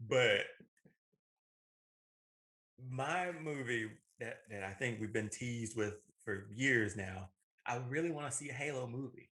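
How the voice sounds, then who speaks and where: Bright-voiced man in casual chat. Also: medium pitch, a little slow, long pauses. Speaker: co-host of a movie talk show.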